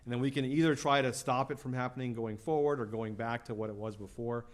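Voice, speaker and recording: A man's voice: mid-range voice, steady tone, fast. A middle-aged man, a law professor, answering audience questions after a lecture in a casual, conversational way, often adding "right?" and "you know". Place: lecture hall.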